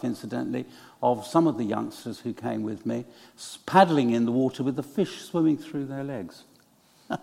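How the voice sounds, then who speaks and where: A man's voice: medium pitch, creaky, darkish tone. An older man preaching a conversational, storytelling sermon in a reflective, hesitant way. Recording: echoey church hall with long reverb.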